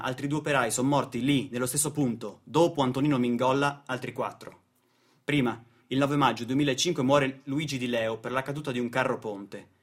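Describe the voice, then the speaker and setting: A man delivering a dramatic narrative monologue in deliberate short phrases, like a stage storyteller. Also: mid-range voice.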